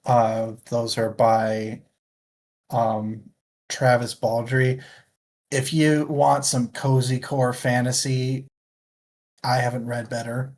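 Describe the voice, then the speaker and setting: A man's voice: mid-range voice, level tone, takes it slowly, long pauses, a little mellow, slight background noise. A man hosting a casual book chat livestream, talking in a rambling, jokey and self-deprecating way. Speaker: book club podcast host.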